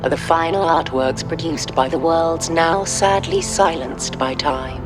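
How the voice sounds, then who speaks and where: A woman's voice: low-pitched, a little slow. A woman acting a solemn, portentous herald of doom in an audio drama. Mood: ominous. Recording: loud music behind. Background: scary trailer music.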